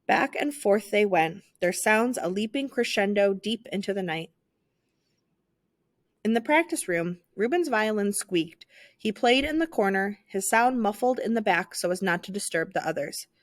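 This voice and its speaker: Expressive woman reading a children's story. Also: medium pitch, normal speed, no room sound, studio quality. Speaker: storyteller reading a picture book aloud.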